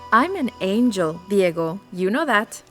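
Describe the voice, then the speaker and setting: A young village woman in a video game cutscene. She has a medium-pitched voice and a playful, skeptical, casual manner. Faint music is heard underneath. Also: sing-song, slow, a bit bright.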